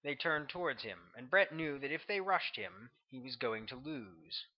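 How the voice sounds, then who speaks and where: Male author-narrator for fiction audiobook, scripted reading, mid-range pitch, slightly dull recording. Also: expressive, moderate pace.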